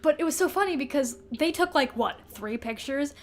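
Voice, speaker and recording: A woman with a distinctly high voice co-hosts a casual book podcast. She is giggly and informal, retelling scenes in slangy language and playfully putting on the dialogue as she goes. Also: lively intonation, normal speed. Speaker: podcast co-host. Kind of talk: casual book discussion chat.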